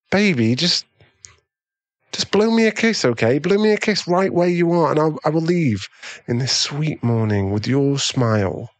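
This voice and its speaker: Man acting a slow-talking, pleading doting boyfriend. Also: fairly high pitch, expressive, no echo. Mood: anxious, pleading. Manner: pleading, halting, breathy sighs. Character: doting, easily swayed millionaire boyfriend. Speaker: ASMR roleplay voice actor. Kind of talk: ASMR boyfriend roleplay.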